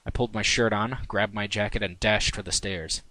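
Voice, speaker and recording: A man's voice: on the low side, fairly even intonation, fairly quick, sharp tone, no room sound. A man reading his own first-person fan fiction in a wry, conversational way. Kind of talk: first-person story narration.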